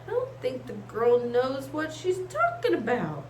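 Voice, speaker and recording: A woman's voice: high voice, huge pitch swings, a little slow, darkish tone, voice pushed up, exaggerated voice. A woman voicing a self-important, touchy female toy stingray in a storybook, grumbling and singsong by turns. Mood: sulky, hushed. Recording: echoey room with faint hiss.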